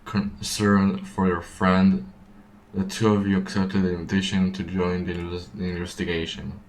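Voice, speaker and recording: A man streaming a game, reading story text aloud haltingly and repeating words as he stumbles. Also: deep voice, level tone. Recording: echoey room, distant mic, game music.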